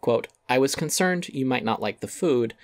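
A man's voice: mid-range voice, lively intonation, moderate pace, no room sound. Man narrating an essay, very dry close recording. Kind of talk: dramatic reading of a critical essay.

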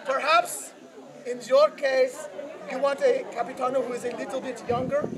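A woman's voice: sits high, flat delivery, moderate pace, lightly acted, rough recording. A woman playing a boastful, vain male army captain in a street comedy, pompous and theatrical. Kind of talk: outdoor commedia dell'arte street show. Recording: crowd noise outdoors.

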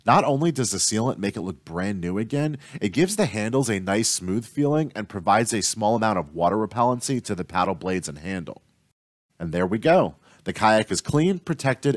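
A young adult man giving a steady, scripted tutorial voice-over as the host of an outdoors channel. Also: lowish voice, no room sound, clean studio sound. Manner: steady instructional delivery.

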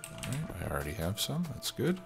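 Congested male gameplay host, bass-low voice, relaxed thinking-aloud delivery, music bed under it. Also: a little fast, creaky. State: stuffed up with a cold. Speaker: let's play host. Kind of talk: casual gameplay commentary. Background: background music and keyboard typing.

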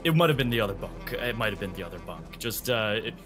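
A man's voice: lowish voice, expressive, quick pace, music in the background, natural voice. A man voicing a nervous, cowed male passenger in a tabletop game, stammering and apologetic.

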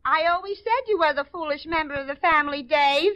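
A woman's voice: high voice, fairly quick. A woman taking part as a contestant on a live 1940s radio show, speaking into a stage microphone. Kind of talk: brief replies and repeated prompts on a live radio show. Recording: dull 1940s radio recording.

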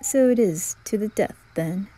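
A woman voicing a menacing male demon who speaks in a low, whispery threat. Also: expressive, unhurried, mellow.

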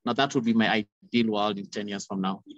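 A man's voice: fairly low pitch, steady tone, quick pace, a bit bright. A young man giving earnest, impassioned remarks as a panel speaker on a video call, talking into a phone. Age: young adult. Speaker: youth activist, panel speaker. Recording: roomy, dull video-call audio.

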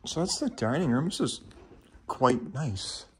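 Slow-paced man muttering casual reactions. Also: medium pitch, expressive, a little hiss or hum. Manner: murmured short reactions, thinking aloud. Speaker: vlogger exploring an abandoned house. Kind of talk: casual off-the-cuff exploration commentary. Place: indoors, inside rooms of a house.

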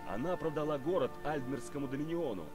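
An actor playing a stern male warrior hunting a traitor in a fantasy game, speaking in a measured way. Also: fairly high pitch, lively intonation, close to an everyday voice. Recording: game audio under music.

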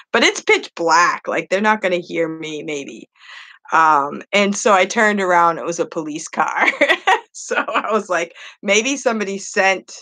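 A talkative woman in her fifties from New Hampshire rambles to her viewers, full of "um" and "you know" and quick laughs. Her voice sits a little low for a woman. She talks into a desk webcam in a small wood-paneled room at home. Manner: rambling and animated, laughs often, lots of fillers. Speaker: online English teacher and vlogger. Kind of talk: casual chatty vlog, talking to viewers.

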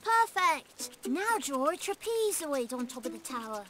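A woman voicing a calm, helpful young male rabbit in a children's cartoon, who patiently explains how to draw step by step. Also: sits high, a little slow, heavy background noise.

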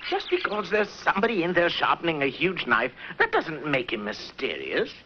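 High, animated British band leader. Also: male voice, normal speed, noisy background. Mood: bossy. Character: cocky, bossy young male pop-band leader. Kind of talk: 1960s cartoon voice acting. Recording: dull lo-fi old VHS cartoon soundtrack. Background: music.

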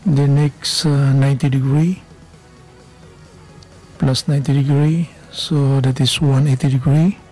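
A man's voice: medium pitch, little pitch movement, a little slow, long pauses, mellow. Man narrating step by step over music. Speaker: machining instructor. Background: background music.

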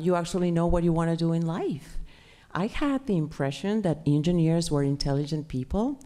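A middle-aged woman from Mexico with a Mexican Spanish accent, a native Spanish speaker, speaking animatedly at a panel table mic in a conference room. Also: low-pitched. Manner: animated, gestures while talking, fillers. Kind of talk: panel talk about her career. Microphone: table mic on stand.

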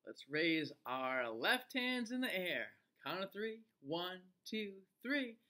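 A man reads a picture book to young children. His pitch swings widely and he takes his time, stretching words out very slowly. The delivery is exaggerated and teacherly. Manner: animated, exaggerated, drawn-out delivery with gasps. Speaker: teacher reading aloud to children. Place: small indoor room, seated facing camera.

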